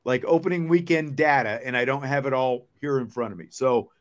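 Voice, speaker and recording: A man's voice: medium pitch, a little fast. A man hosting a sports podcast, chatting casually and thinking aloud as he answers viewer comments.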